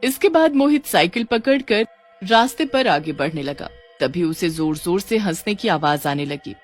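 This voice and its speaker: A woman reading scripted narration for an animated horror bedtime story. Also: on the low side, moderate pace. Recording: music under the voice.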